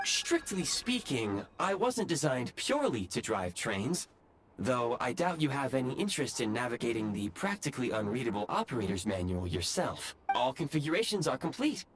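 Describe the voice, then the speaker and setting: A man's voice: mid-range voice, sing-song, normal speed, a little hiss or hum, natural voice. A man voicing a formal, dryly condescending train autopilot for a video game, precise and clipped in his wording. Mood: formal, matter-of-fact. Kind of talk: video game character acting.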